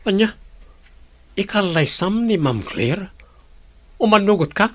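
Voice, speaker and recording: High-voiced anxious young man. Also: animated, slow pace, heavily acted. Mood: startled, questioning. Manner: questioning, pressing. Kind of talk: radio drama acting.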